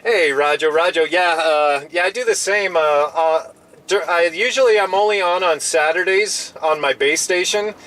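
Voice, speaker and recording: A man with a fairly high voice chats amiably from inside his parked truck as a mobile radio operator. He uses casual radio lingo and tends to double up his phrases. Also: normal speed, a bit bright, slight background noise. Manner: friendly, chatty, often repeats himself. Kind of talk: casual radio contact chat, using operator jargon.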